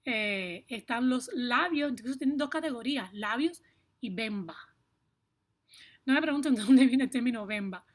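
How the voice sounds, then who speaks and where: A woman with a Cuban accent explaining things to viewers in a personal, emphatic way, stressing points with deliberate repetition. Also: on the high side, lively intonation. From Cuba. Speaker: video blogger.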